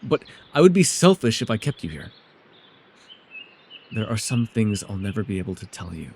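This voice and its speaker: A man playing a reclusive, centuries-old elf in a fantasy audio roleplay, guarded and awkward, with an earnest, halting delivery. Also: on the low side, very animated, a little mellow, slight background noise. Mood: tender, regretful.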